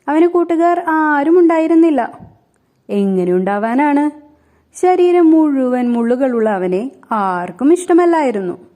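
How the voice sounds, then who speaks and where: A woman's voice: sits high, warm tone. A woman narrating a scripted children's story as a storyteller.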